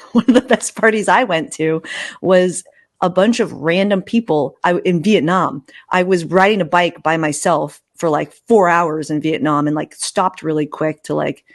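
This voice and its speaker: Slightly low-voiced woman chatting casually. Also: expressive, a little slow. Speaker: interview host. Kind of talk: casual chat.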